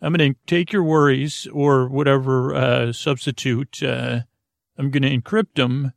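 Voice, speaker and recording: A man's voice: fairly even intonation, measured pace, vocal fry, dry recording, studio quality. A man hosting a sleep podcast, rambling through a meandering intro with plenty of fillers and restarts. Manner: low-key, drowsy delivery. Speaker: podcast host.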